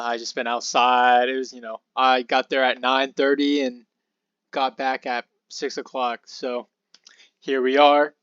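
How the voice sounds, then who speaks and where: Young male podcast host, a touch hoarse, loose and chatty, mid pitch, dry muffled home recording. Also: dry recording. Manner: relaxed and rambling, with fillers and asides. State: slightly hoarse. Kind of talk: casual Bible study talk.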